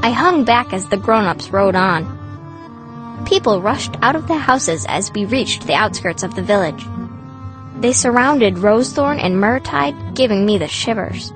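A woman's voice: medium pitch, animated, unhurried, over music, natural voice, low-quality recording. A woman voicing a fierce, cranky young girl mage, blunt and grumbling, in a first-person fantasy audiobook. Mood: narrating.

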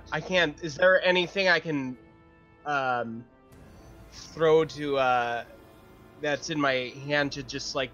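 Male game player, unhurried and halting, casual table chat, slightly lo-fi sound with music behind. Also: medium pitch, sharp tone. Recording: dull, band-limited sound.

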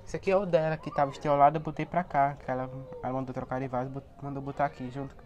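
Young guy with a cold, raspy hoarse voice, chatting to viewers about his plants outside, slight noise. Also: mid-range voice, level tone, racing. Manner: chatty, points things out to viewers. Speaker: plant vlogger. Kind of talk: casual walkthrough vlog. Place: outdoor backyard garden area. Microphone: handheld phone camera.

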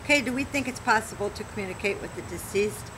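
A woman's voice: medium pitch, fast. A woman in her fifties from Michigan reads out audition questions in a casual group chat outdoors, with frequent laughs. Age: 50s. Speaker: applicant reading audition questions. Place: outdoors in a garden, seated. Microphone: camera mic at a distance. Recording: outdoor noise under the voice.

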